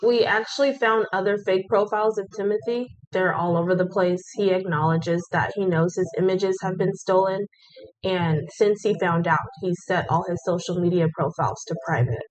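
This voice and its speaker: A woman host with a lowish voice reports findings calmly. Her delivery is steady and informative. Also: normal speed, poor audio.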